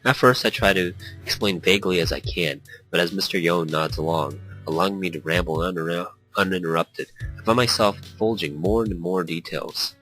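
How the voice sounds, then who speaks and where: Low-voiced man reading a visual novel aloud. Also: fairly quick, hardly any pauses, fairly bright tone, soft background music, low-quality recording. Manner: stumbles over words while reading. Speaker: let's-play host.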